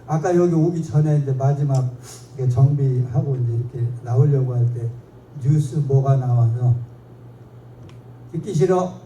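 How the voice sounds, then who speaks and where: A man's voice: mid-range voice, moderate pace, dark tone. A man giving a local history lecture in a chatty, digressive, self-mocking way, pointing at slides as he goes. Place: lecture hall. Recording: echoey hall from far off, faint noise.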